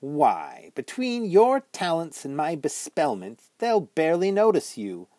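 A man's voice: on the high side, wildly swooping pitch, unhurried, mellow, dry recording, studio quality, voice pushed up. A man doing a scolding ghostly witch girl. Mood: pleading. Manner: chiding, archaic turns of speech.

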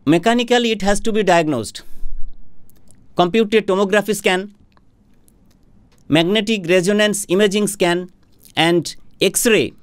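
A middle-aged man from India, a homeopathic doctor, explaining a health topic to camera in a formal, deliberate way, speaking into a studio microphone. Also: high-pitched, smooth, dry recording. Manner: slow, deliberate, formal explaining with hand gestures. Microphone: studio microphone on a boom arm in front of him.

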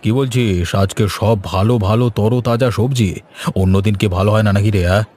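A man's voice: lowish voice, steady tone, normal speed, darkish tone, natural voice. A man voicing a grumbling, insistent older village husband and customer in a cartoon story. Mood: suspicious. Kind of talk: animated cartoon story dialogue.

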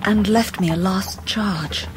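An actress playing a gentle, sincere, devoted wife with an English accent in a radio drama. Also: female voice, medium pitch, takes it slowly, heavy background noise, natural voice. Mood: tender, solemn. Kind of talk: 1990s radio drama acting.

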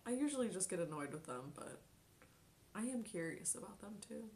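A young woman in her twenties chatting casually on a live stream from her bedroom, reading chat aloud, giggling often and dropping into soft near-whispers. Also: medium pitch, very animated, a little fast, a little hiss or hum. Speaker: Twitch streamer. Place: small bedroom at home.

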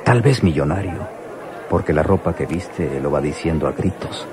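An actor playing an obliging, sly nightclub waiter in a radio drama, deferential and chuckling, with a Mexican accent. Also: male voice, rumbling low, normal speed. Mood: sly, amused. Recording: loud music and crowd noise behind.

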